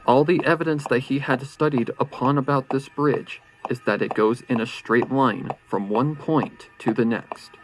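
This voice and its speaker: A man's voice: medium pitch, normal speed, few pauses, low-quality recording. A man narrating a horror story in a suspenseful, theatrical way. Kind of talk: dramatic horror fan-fiction narration. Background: faint music.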